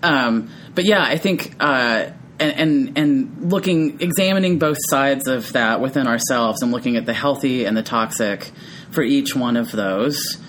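Deep-voiced woman, thoughtful and chatty. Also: measured pace, a little hiss or hum. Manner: tags thoughts with frequent "right?"; laughs easily, animated when amused. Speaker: podcast co-host. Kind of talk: reflective casual conversation.

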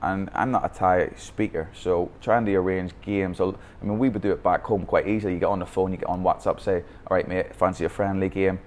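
Deep-voiced Northern Irish football coach. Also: male voice, fairly even intonation, brisk, few pauses, darkish tone, slight background noise. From Northern Ireland. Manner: candid storytelling with many restarts. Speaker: youth football academy founder. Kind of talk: podcast interview. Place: Bangkok.

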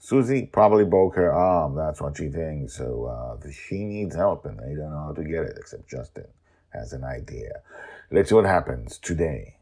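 A man hosting a story podcast, narrating scripted fiction in a theatrical way with dramatic pauses and swoops. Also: rumbling low, animated, darkish tone, husky.

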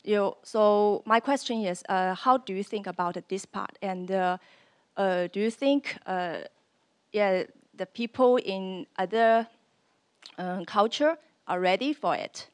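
A woman's voice: mid-range voice, unhurried, clear, resonant tone. A young woman from China with a Chinese accent, asking an unscripted audience question in hesitant, piecemeal phrases. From Shanghai, China. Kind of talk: unscripted question at a public discussion.

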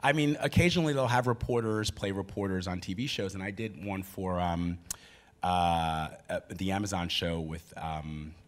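A man moderating a panel before a live audience, telling lively, chatty anecdotes. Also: low-pitched, moderate pace. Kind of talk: casual panel talk.

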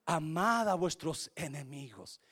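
A male pastor with a Spanish accent preaches with a high, lively voice that climbs and falls all over. He mixes Spanish and English mid-sentence and moves between hushed lines and full shouts. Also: a little slow, husky. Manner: swings from quiet to shouting. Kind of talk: bilingual sermon.

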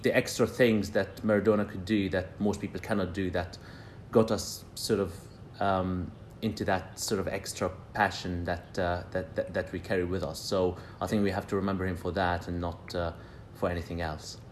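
A man in his thirties from Palestine speaks as a podcast guest in a very low, deep voice. He talks casually and haltingly, looping through fillers and false starts, over a faint low rumble. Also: level tone. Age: 30s. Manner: hesitant, many fillers and restarts.

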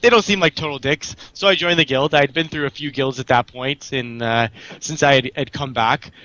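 A man with a Canadian accent telling gaming stories casually and haltingly over online voice chat, with an amused manner. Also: medium pitch, pushed and bright, no room sound, highs cut off. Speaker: guild member and raid officer on a group show.

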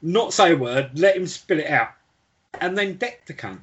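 A man's voice: a little high, expressive, normal speed, dull audio. A man co-hosting a podcast, chatting casually and informally about a book. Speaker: podcast co-host. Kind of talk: casual book discussion chat.

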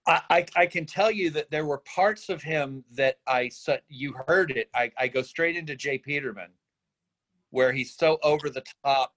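A man's voice: fairly high pitch, normal speed, fairly bright tone. A man, an actor in a casual workshop discussion, talking bluntly and acting out example lines. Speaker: actor from a script reading giving feedback.

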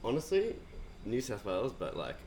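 A man's voice: fairly low pitch, huge pitch swings, moderate pace, darkish tone, a little hiss or hum. A young Australian man, a guest musician, chatting casually in a rambling, laid-back way with lots of swearing and fillers. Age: young adult. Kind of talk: casual podcast chat.

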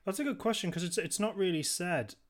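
A man's voice: high-pitched, brisk. A man co-hosting a podcast, chatting casually and somewhat hesitantly, often repeating his phrases. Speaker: podcast co-host. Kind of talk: casual chat.

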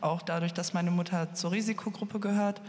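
A young woman in her twenties chats casually and relaxed. Her voice sits a little low. It is wrapped in a long reverb. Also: a little fast. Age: 20s. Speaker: program participant. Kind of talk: casual personal statement.

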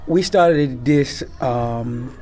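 Slow, halting man from Congo. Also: mid-range voice, a little mellow. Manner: halting, many fillers and restarts. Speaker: association member. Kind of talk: casual interview answers.

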